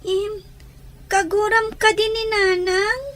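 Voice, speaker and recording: A woman's voice: very high-pitched, flat delivery, slow, a bit bright, no echo, exaggerated voice. A woman playing a small, innocent, wistful child in a radio drama, plaintive and questioning.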